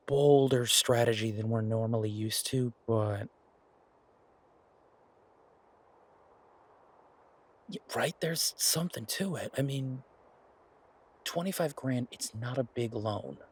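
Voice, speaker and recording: A male actor voices a practical boyfriend in a roleplay audio drama, with a husky, mid-pitched voice. He explains things in a casual, thoughtful way, pausing as he works through his reasoning. The delivery is natural and conversational, like one side of a private talk. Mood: reassuring, earnest. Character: male werewolf boyfriend, practical restaurateur pitching a farm plan.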